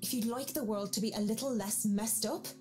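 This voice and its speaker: Woman voicing a dry, sharp young woman. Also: a little high, fairly even intonation, fairly quick, soft background music, pitched above the performer's own voice, lightly acted. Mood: dry reproachful. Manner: dry, reproachful. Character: sharp-witted young woman, wary and principled. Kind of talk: visual novel character voicing.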